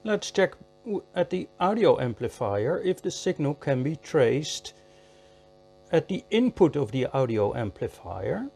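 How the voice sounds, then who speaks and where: Slow-paced man with a higher-pitched voice. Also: very animated, gravelly, a little mellow, faint music behind. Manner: measured step-by-step, counts and repeats phrases. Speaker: electronics repair presenter. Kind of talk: hands-on tutorial commentary.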